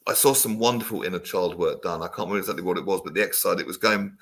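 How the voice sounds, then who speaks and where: A man hosting a talk show, speaking in a gentle, reflective and supportive way. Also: low voice, very fast. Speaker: host. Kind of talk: casual talk show chat.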